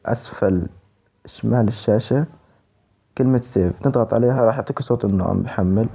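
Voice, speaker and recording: A man's voice: on the low side, quick pace, gravelly, warm tone, breathy edge. A man with a Levantine Arabic accent giving a casual spoken app walkthrough, repeating instructions step by step. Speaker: tutorial presenter.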